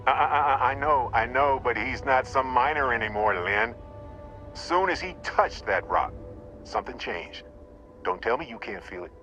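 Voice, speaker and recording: A man's voice: mid-range voice, animated, moderate pace, pushed and bright, lo-fi sound, faint music behind. An actor playing a genial, talkative male space explorer in a video game, with a jaunty, wry, easygoing manner. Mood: pleading. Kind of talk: video game cutscene acting.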